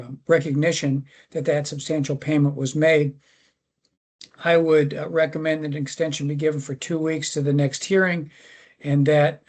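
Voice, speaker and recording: Male commission member, fairly high voice, flat delivery, halting procedural phrasing. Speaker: commission member presenting permit cases. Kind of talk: formal public meeting discussion.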